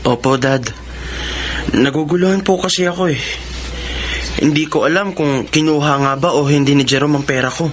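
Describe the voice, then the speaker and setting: A man's voice: fairly high pitch, normal speed, pauses often, lightly acted. A man with a Filipino accent playing a troubled, respectful young adult son in a radio drama, polite and hesitant. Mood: confused, downcast. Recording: noisy radio drama track with ambience.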